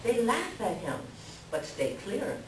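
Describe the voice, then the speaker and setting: A woman playing an excitable, indignant raven with theatrical exclamations and harsh caws in a readers theater folktale. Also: medium pitch, moderate pace. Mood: scornful, indignant. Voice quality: harsh cawing outbursts. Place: library room at a live reading. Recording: roomy, distant live recording with noise.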